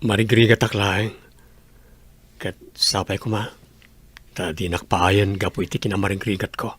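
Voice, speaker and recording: A man's voice: lowish voice, steady tone, moderate pace, a bit bright, husky, no echo. A man playing a humble, earnest young working man in a radio drama. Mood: humble, tender.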